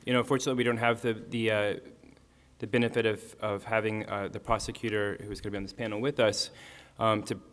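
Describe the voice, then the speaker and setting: A man's voice: fairly low pitch, little pitch movement, quick pace, a bit bright, long reverb tail. A man on a legal panel answering a question hesitantly, restarting phrases with many fillers.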